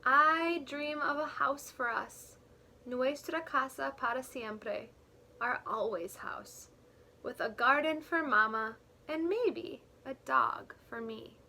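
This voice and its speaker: A woman teacher reading a picture book aloud to young children, expressively and deliberately, pausing to explain things in simple words. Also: high-pitched, bright, forceful tone. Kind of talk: read-aloud storytelling with explanatory asides.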